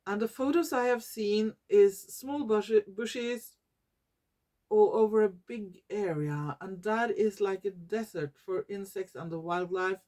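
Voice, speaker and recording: Woman, Norwegian accent, non-native English, unhurried, fillers and self-corrections, casual vlog. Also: mid-range voice, mellow. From Norway. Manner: slow and searching for English words, many fillers and self-corrections. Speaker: YouTuber. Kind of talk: casual talking-head vlog.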